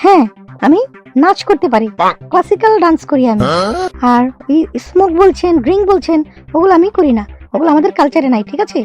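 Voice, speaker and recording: A woman's voice: very high-pitched, lively intonation, fast talker, a little mellow, muffled recording, faint music behind. A woman voicing a prim, outspoken young woman who argues firmly and emphatically in a comedy cartoon. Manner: emphatic, argumentative. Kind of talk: amateur cartoon comedy dialogue.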